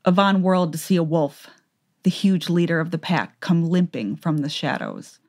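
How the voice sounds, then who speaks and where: A woman narrates a fantasy story as in a dramatic audiobook. Her voice is pitched low. The delivery is scripted storytelling. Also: moderate pace, darkish tone.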